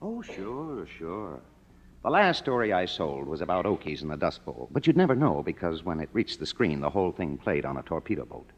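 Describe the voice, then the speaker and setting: A man's voice: deep voice, sing-song, a little fast, slightly rough, heavily acted. An actor playing a sardonic, down-on-his-luck screenwriter in a 1950s radio drama, talking colloquially. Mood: wry. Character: wry, down-on-his-luck male Hollywood screenwriter. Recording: dull old radio, long reverb.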